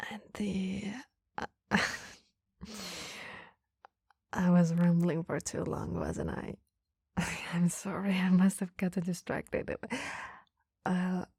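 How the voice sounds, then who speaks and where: Woman acting breathy, whispery flirty female boss. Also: on the low side, a little mellow, no echo, studio quality, heavily acted. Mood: flirty, admiring, then coy, flustered. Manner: hesitant, teasing, rambling. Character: flirty, flustered female boss, doting and coy. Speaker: ASMR roleplay performer. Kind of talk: whispered flirty ASMR roleplay.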